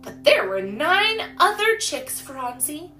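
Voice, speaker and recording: A woman's voice: high voice, sing-song, slow pace, sharp tone, faint music behind, pitched above the performer's own voice, heavily acted. A woman voicing a caring, bubbly little girl in a storybook, with eager, exclamatory, folksy old-fashioned speech. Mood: animated, playful. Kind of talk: storybook character voice.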